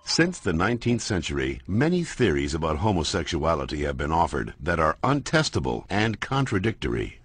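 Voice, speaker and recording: A man playing a genial, wry science narrator in an educational cartoon, explaining in measured phrases. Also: a bass voice, sing-song, a bit bright, full character voice. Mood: genial, explanatory. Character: genial male science narrator moderating a debate. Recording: music behind the voice.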